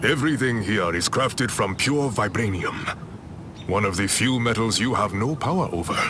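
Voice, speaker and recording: A regal warrior king of an African empire voiced by a man for a video game. The voice is low and measured, with an African accent and expressive, dignified phrasing. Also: background noise, lightly acted. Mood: proud, firm.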